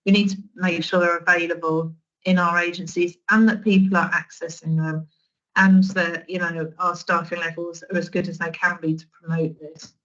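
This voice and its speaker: A woman in her forties leads a training session over a video call, speaking in a relaxed, conversational way full of restarts and small fillers. Her voice sits fairly low, and the audio comes through a little muffled and dull. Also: moderate pace. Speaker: social work trainer. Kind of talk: online training presentation.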